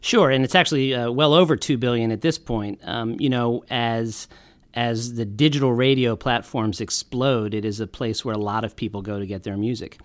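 A man's voice: medium pitch, fairly even intonation, moderate pace, dry recording. A man who heads a nonprofit, speaking as an interview guest and explaining his organization in a friendly way. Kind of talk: interview answers.

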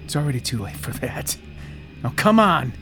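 An actor playing a stubborn, agitated, paranoid conspiracy theorist in an audio drama, insistent and intense. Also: male voice, medium pitch, very animated, moderate pace, music in the background, natural voice. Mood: defiant, urgent.

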